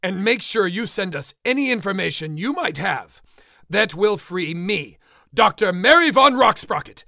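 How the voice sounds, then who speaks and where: A woman playing a vain, menacing mad scientist in a comic radio drama, theatrical and sardonic, with a creaky voice. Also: mid-range voice, animated, normal speed, sharp tone, dry recording, studio quality. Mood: grandiose.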